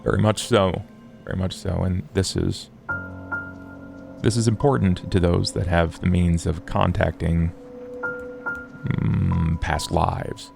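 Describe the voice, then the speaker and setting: A man playing a scholarly, formal vampire in a tabletop game, speaking in measured, wordy phrases over music. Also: fairly even intonation, full character voice. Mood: earnest, explanatory. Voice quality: low, gravelly fry. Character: scholarly, formal, morose male vampire occult theorist. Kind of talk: in-character tabletop roleplay.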